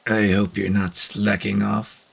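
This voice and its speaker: A man voicing a stern, angry warrior cat in an amateur audio story, with a gravelly growl. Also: fairly low pitch, little pitch movement, slow pace, exaggerated voice. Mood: stern, scolding.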